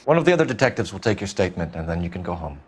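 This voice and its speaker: An actor with an American accent playing a brisk, courteous, probing police detective in a naturalistic video game style. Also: male voice, sits low, big pitch swings, slightly rough, exaggerated voice. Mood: businesslike.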